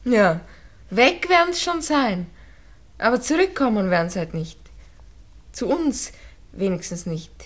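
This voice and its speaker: Pert, high, animated Viennese young woman. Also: measured pace, pauses often. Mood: sceptical. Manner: pert, offhand. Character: worldly, sceptical, pragmatic young Viennese girlfriend. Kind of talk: 1960s radio drama acting.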